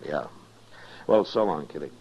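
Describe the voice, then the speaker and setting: An actor playing a stern, steady US marshal in a 1950s radio western, laconic and quietly authoritative. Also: male voice, a bass voice, normal speed, a little hiss or hum. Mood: calm, serious. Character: stern, steady male US marshal in the Old West. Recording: muffled vintage radio recording.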